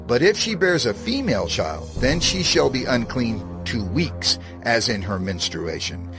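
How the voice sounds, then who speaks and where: Man solemnly reading scripture, expressively pitched. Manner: solemn, measured, declarative. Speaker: scripture reader. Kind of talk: dramatized scripture narration. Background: background music.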